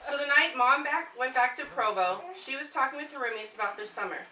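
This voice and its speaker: An American woman reads a homemade puppet show script to her relatives. Her voice sits fairly high. It comes from some distance away in an echoey room with clear background noise. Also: a little fast. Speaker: puppet show narrator at a family reunion. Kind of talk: scripted storytelling, read aloud to relatives. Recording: echoey, noisy room recorded from far off.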